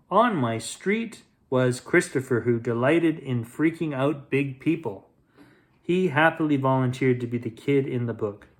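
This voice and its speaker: A man hosting a bedtime story, reading to children expressively and playfully. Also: medium pitch. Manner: expressive, with playful repeated sound effects.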